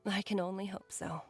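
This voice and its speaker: An actress playing a sorrowful physician and mother in a video game, earnest and measured. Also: medium pitch, a bit bright. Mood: resigned, pleading. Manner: earnest, measured, sighing delivery. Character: sorrowful mother and physician pleading with her old friend.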